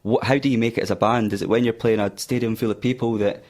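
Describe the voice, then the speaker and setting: A man's voice: lowish voice, fast talker. A man from Fife with a Scottish accent, a guitarist guest talking casually about his band in a radio studio. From Fife, Scotland. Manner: relaxed, says aye, wee.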